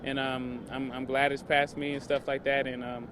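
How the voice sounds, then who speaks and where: A man's voice: medium pitch, little pitch movement, moderate pace. A man, a football player, giving a hesitant unscripted interview. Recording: noisy outdoor interview, traffic hum.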